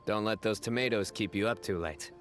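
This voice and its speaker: A man playing a dry, deadpan judge. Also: lowish voice, brisk, over music, lightly acted. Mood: calm.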